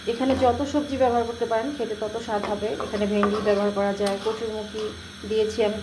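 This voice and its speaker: A woman's voice: medium pitch, roomy sound. A woman with a Bangladeshi accent narrating a casual home cooking vlog, explaining each step in a chatty, colloquial way. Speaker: home cooking vlogger and mother. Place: home kitchen while cooking. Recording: clear background noise of sizzling and stirring.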